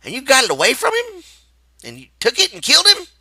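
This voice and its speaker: A man voicing a brash, excitable ranch man, loud and sharp, with blunt urgent delivery in a storybook reading. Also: very high-pitched, fast, vocal fry, no echo, pitched above the performer's own voice, full character voice. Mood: urgent, demanding. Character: brash, excitable ranch men shouting roughly.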